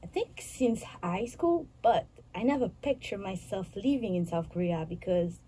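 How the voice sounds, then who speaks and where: Soft-toned woman in her twenties from Haiti. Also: mid-range voice, animated, slight background noise, poor audio. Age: 20s. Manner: earnest, halting, restarts phrases. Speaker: guest. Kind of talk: casual interview answers.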